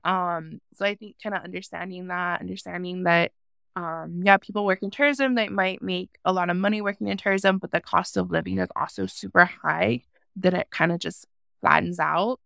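A woman's voice: fairly low pitch, fairly even intonation, normal speed, gravelly, fairly bright tone, no echo, clean studio sound. A young woman from Hawaii, a farmer guest talking in a casual interview, thoughtful and full of "um" fillers.

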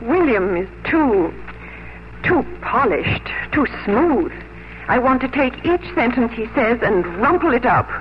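An actress playing a gentle, sincere young English gentlewoman in a 1950s radio drama, earnest, hesitant and formal. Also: on the high side, very animated, takes it slowly. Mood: troubled, wistful. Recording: muffled, noisy 1950s radio recording.

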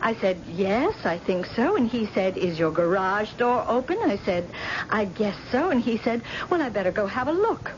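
A woman's voice: medium pitch, expressive, normal speed, lightly acted. An actress playing an anxious, submissive wife covering for her husband, with a fretful manner, in a 1970s radio drama. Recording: dull, slightly noisy old radio broadcast recording.

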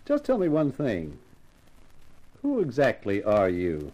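An actor playing a wry, tough private eye in a 1950s radio crime drama. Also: male voice, medium pitch, huge pitch swings, slow, dark tone, highs cut off, exaggerated voice. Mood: wry, relaxed. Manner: wry, wisecracking. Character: wisecracking tough private eye, brave and flirtatious. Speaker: lead actor and narrator.

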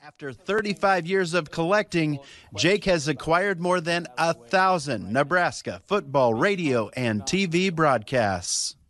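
A man's voice: a little high, expressive, unhurried, fairly bright tone, faint music behind. A man reading scripted narration for a news feature as a reporter.

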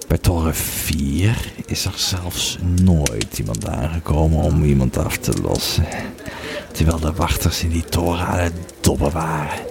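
Very deep, slow Roman legionary played by a man. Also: vocal fry, crowd noise, exaggerated voice. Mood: somber, reflective. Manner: halting, reflective storytelling with searching pauses. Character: grieving, fearful Roman legionary recalling his army days. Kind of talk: audio drama first-person narration.

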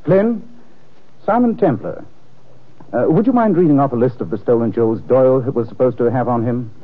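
Male actor as wry, unflappable gentleman detective, 1940s radio style, husky tone, lo-fi vintage sound. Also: medium pitch, animated, moderate pace, close to an everyday voice. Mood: amused, assured. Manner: dry, ironic, nonchalant, hesitates with polite uhs. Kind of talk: 1940s radio drama acting. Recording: dull, lo-fi old radio recording.

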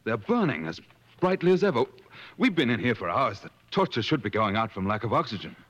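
An actor playing an earnest male adventurer who narrates a safari tale in measured, dramatic 1950s radio style. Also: mid-range voice, big pitch swings, fairly bright tone, lo-fi sound. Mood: urgent, excited. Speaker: narrator and character in a radio play. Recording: old radio broadcast with music.

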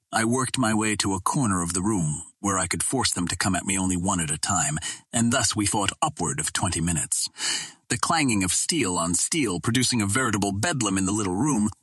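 A man reading an adventure novel aloud as scripted dramatic narration, in a measured, literary storytelling manner. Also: fairly low pitch, pushed and bright, breathy edge, no echo. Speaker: audiobook narrator.

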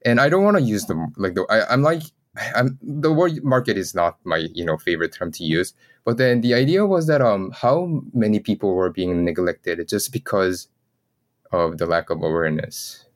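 A man's voice: on the low side, lively intonation, normal speed. A man from South Korea speaking as an interview guest, conversational, with hesitant, tentative phrasing.

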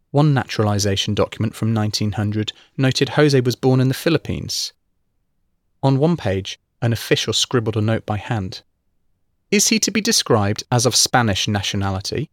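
A man reading a true-crime feature article in measured scripted narration. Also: fairly low pitch, no echo, studio quality.

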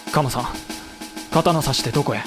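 A man acting as a wry, composed samurai official who narrates a war in a literary, measured way for an audio drama. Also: mid-range voice, music in the background, close to an everyday voice. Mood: grave. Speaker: narrator and character.

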